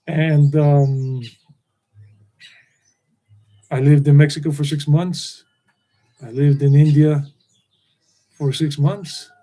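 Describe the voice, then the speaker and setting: A man's voice: a little high, mellow, low-quality recording. A middle-aged man hosting a live stream, rambling and repeating himself as he talks. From United States. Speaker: tour guide and channel host.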